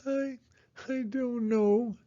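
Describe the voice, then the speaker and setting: A man voicing a small, gentle, anxious female hedgehog in a children's storybook, earnest and hesitant. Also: very high-pitched, fairly even intonation, drawn-out words, muffled recording, pitched above the performer's own voice, full character voice. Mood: tearful.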